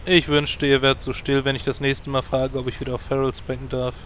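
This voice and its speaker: A man's voice: mid-range voice, level tone, brisk, a bit bright, a little hiss or hum, natural voice. A man playing a bossy guild leader. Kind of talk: parody audio drama.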